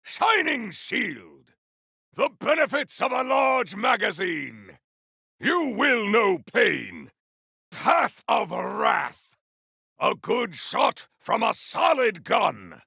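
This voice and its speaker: A man voicing a righteous, grandiose game warrior on a holy quest, with a gravelly, forceful voice and archaic, theatrical declamation. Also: sits high, sing-song, very slow, no room sound, clean studio sound, heavily acted. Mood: battle fury. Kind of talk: video game combat voice lines.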